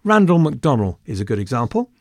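A man's voice: medium pitch, very animated, fast talker, no echo, studio quality. A man narrating history in a conversational way, with wry asides.

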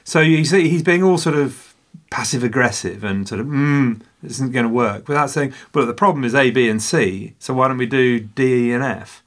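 A man's voice: medium pitch, moderate pace. A man co-hosting a film review podcast, making wry, dryly humorous remarks and acting out snatches of mock dialogue. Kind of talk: casual film review chat.

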